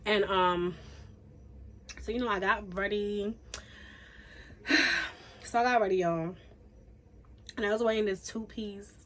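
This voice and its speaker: A young woman from Haiti chatting casually through a storytime, animated and full of fillers. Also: mid-range voice, slow, long pauses. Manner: chatty, animated, says "like" and "y'all" a lot. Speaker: YouTuber.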